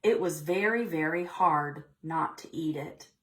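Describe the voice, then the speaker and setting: A woman leading a preschool storytime, reading a picture book aloud expressively and pausing to ask the children questions. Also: sits low.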